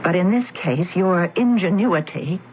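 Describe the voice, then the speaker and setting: A widow torn by grief who comes to confront the men she blames, her lower voice catching and restarting. The sound is a thin, phone-like old radio broadcast with a faint hiss underneath. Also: expressive. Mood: accusing. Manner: pleading then accusing, halting. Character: grieving widow confronting the firm. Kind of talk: 1970s radio drama acting.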